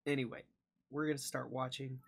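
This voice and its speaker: A tired young man chatting casually to viewers as a reaction host, low-key and self-deprecating. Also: flat delivery, brisk, vocal fry, slightly rough. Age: young adult. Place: small cluttered room indoors.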